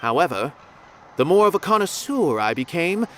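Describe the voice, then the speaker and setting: A proud, philosophical art lover from a video game. His voice sits high and swings widely as he delivers grand, deliberate phrases with theatrical weight. Faint noise sits behind him. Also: very animated, a bit bright. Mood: lecturing. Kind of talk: dramatic video game voice acting.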